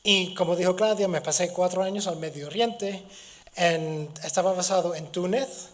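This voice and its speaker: A middle-aged man from Gibraltar, a native English speaker, giving a university lecture while hoarse from a cold, halting with restarts and fillers. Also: sits high. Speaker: guest lecturer.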